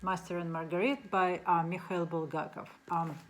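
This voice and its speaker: A middle-aged woman whose first language is Russian, speaking English with a Russian accent in a casual book talk, unhurried, with many ums. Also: lowish voice, steady tone, noisy background. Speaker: book reviewer.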